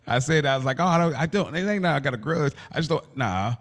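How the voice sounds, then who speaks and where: A man's voice: fairly high pitch, expressive, fast talker. Guy on a podcast just talking, slangy storyteller, quotes people, reasons it out casually. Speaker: podcast co-host.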